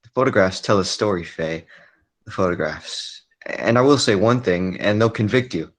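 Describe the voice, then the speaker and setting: A man as a low-voiced, hesitant little circus man. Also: moderate pace, natural voice. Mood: accusing. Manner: hesitant, repeating himself. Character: quiet, lovelorn little circus man, accusing and stubborn. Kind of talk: 1940s radio drama acting.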